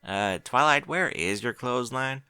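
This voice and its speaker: A man's voice: mid-range voice, animated, a little slow, bright, forceful tone, clear, resonant tone, no room sound. A man voicing a plain-spoken female farm pony, earnest and casual, in a fan fiction audiobook. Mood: awkward.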